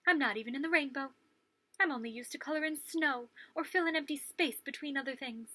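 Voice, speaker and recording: A forlorn storybook crayon that feels empty and overlooked, acted out by a woman. It speaks plaintively in a high, bright voice. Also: brisk, no echo. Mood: sad, plaintive. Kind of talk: children's picture book read-aloud.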